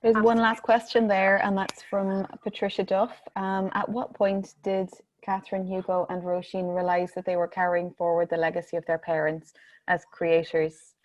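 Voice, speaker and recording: A woman's voice: mid-range voice, flat delivery, moderate pace, highs cut off. A woman moderator reading out an audience question.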